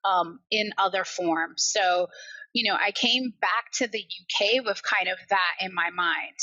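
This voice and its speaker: A woman's voice: medium pitch, sharp tone, no room sound, lo-fi sound. A woman with an American accent talking passionately and chattily about personal reflections as a podcast guest. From Barbados and the US. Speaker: podcast guest, account manager.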